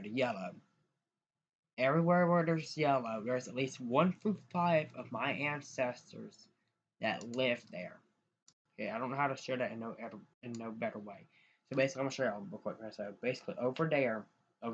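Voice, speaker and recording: A young man from the United States chatting casually to viewers while sharing his screen, rambling and restarting often. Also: medium pitch. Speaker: YouTuber. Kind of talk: casual screen-share vlog talk. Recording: dull lo-fi mic with faint noise.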